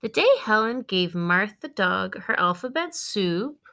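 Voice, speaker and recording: A woman teacher reading a children's storybook aloud to her students in an expressive way. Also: medium pitch, measured pace.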